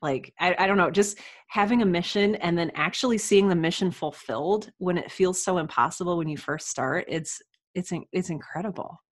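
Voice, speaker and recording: A woman chatting casually as a podcast guest, telling her story in an animated way. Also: lowish voice, fairly quick, dry recording, clean studio sound. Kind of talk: casual podcast interview chat.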